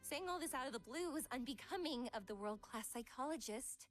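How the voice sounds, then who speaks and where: A posh-mannered loving young fiancee in a visual novel, highish voice, careful wording, music in the background. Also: female voice, lively intonation, brisk. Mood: troubled, earnest. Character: refined, affectionate young woman psychologist, idol's fiancée.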